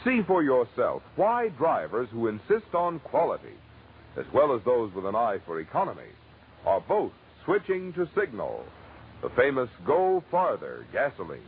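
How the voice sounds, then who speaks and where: A man's voice: medium pitch, wildly swooping pitch, normal speed. A man working as a radio announcer, reading a vintage commercial in a polished, persuasive style. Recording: tinny, noisy vintage radio recording.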